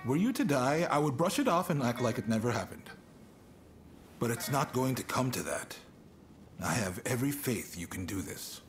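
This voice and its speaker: A man's voice: mid-range voice, big pitch swings, normal speed. A man playing a shrewd, persuasive shogunate official in a video game, informal, frank and coaxing, over music. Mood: coaxing, earnest. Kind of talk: scripted video game cutscene acting.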